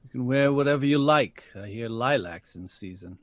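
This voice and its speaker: A man playing a proud, persuasive big-band leader in an audio drama, measured and earnestly pleading. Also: mid-range voice, animated, no echo, close to an everyday voice. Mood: measured, placating. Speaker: actor.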